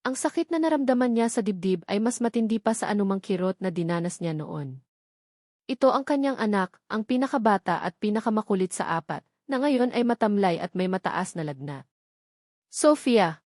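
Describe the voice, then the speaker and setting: A woman narrates a sentimental drama in a scripted storytelling style. Her pitch sits in the middle range for a woman. The sound is dry and close, with no room around it. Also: expressive, a little fast, a little mellow, no room sound.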